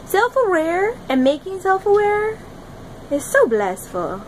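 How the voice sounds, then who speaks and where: A young woman talking to her phone camera in a small room, rambling dreamily and giggling, jumping between loud bursts and soft murmurs. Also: very high-pitched, huge pitch swings, slow pace, slight background noise, rough recording. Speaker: vlogger. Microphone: phone camera at arm's length.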